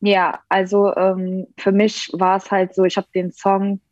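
A woman's voice: mid-range voice, completely flat delivery, measured pace, a little mellow, dry recording. A young woman from Berlin, a rapper, joining an interview by video call and answering thoughtfully with many hesitations. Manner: thoughtful, many ähm fillers. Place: joining remotely. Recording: dull lo-fi video call audio.

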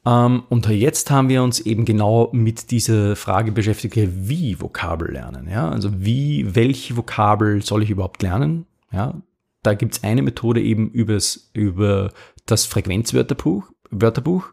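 A man's voice: fairly low pitch, animated, normal speed, gravelly, darkish tone, breathy edge. A man hosting a language-learning podcast, talking informally to the listener with many fillers and restarts. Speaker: podcast host. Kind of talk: casual podcast monologue with sponsor read.

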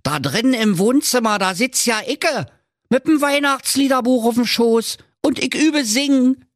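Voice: mid-range voice, huge pitch swings, brisk, a bit bright, slightly rough, no room sound, studio quality, pitched above the performer's own voice, exaggerated voice. A performer voicing a grumpy, fearful old male miser with a Berlin accent, indignant and pleading, in a comic storybook tale.

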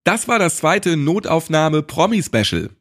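A man's voice: a little high, very animated, moderate pace, fairly bright tone. A man hosting a podcast casually, chatty and wry in his way of talking. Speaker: podcast host.

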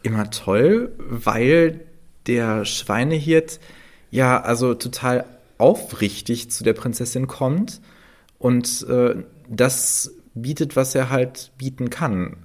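A man casually discussing fairy tales on a podcast, halting as he thinks aloud. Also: medium pitch.

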